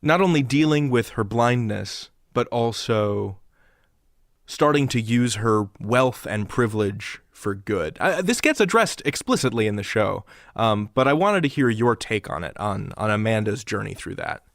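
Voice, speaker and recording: A man's voice: lowish voice, expressive, measured pace, creaky, no echo, studio quality. Male interviewer asking probing questions.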